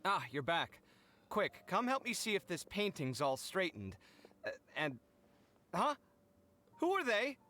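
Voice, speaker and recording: Flustered, animated young scholar. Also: male voice, high voice, a little slow, long pauses, heavily acted. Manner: theatrical, indignant, fretful. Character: young, flustered, touchy, dramatic male scholar and roommate. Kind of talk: anime fantasy game voice acting.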